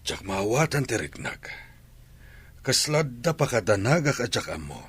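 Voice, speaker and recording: A grumbling older father acted by a man in a radio drama. His voice is very raspy and moves up and down expressively as he worries and complains. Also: medium pitch, very animated, a little slow, heavily acted. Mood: uneasy. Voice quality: husky, rough. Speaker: voice actor in an audio drama.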